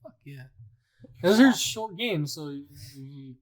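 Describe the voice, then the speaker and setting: A man with a slightly high voice hosts a casual chat show. He is agreeable and slangy, swears easily and tends to echo back what he hears. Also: animated, slow pace, crowd noise. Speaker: podcast host.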